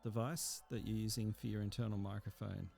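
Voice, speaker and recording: Guy walking thru software steps, relaxed creaky voice, kinda hesitant, informal. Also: lowish voice, a little fast. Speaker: software tutorial instructor. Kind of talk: casual screen-recorded tutorial narration.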